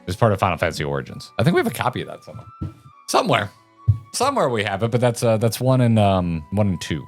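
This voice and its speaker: A man co-hosting a video game show, talking casually in an animated, rambling and opinionated way. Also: on the low side, fast, soft background music. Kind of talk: casual chat and commentary.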